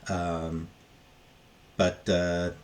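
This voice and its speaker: A man giving a casual, unscripted book review in a halting, rambling way that often trails off. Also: sits low, little pitch movement, a little hiss or hum.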